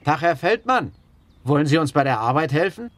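A man's voice: on the high side, very animated, brisk. An actor playing a junior policeman in a crime radio play, grumbling but deferential to his boss. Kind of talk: German radio drama acting. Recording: loud car and outdoor noise.

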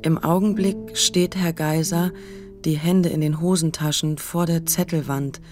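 A woman's voice: low-pitched, steady tone, measured pace, a little mellow. A woman narrating a literary radio play from a script. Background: guitar music.

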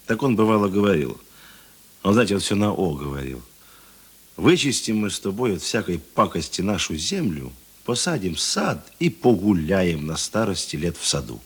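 A man acting a middle-aged, thoughtful and kind police investigator in a Russian radio play, husky and measured. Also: sits low, very animated, full character voice. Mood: earnest, thoughtful. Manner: measured, self-deprecating, reflective.

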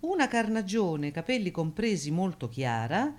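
Wry woman with animated intonation. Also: fairly low pitch, moderate pace. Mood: eager, reading aloud. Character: wry out-of-work actress among job-hunting friends. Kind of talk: naturalistic comic stage dialogue.

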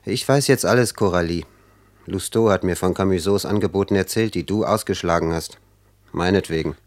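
An actor plays an ambitious young man in a German radio drama. His voice is deep. He is proud and brooding as he speaks. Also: a little fast, lightly acted. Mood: bitter, regretful. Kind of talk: German radio play acting.

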